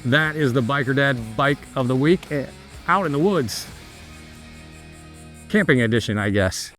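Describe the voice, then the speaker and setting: Man, middle-aged, motorcycle show host, rambling casual talk, average pitch, music underneath. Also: measured pace. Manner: rambling, relaxed. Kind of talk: casual chat and sponsor reads.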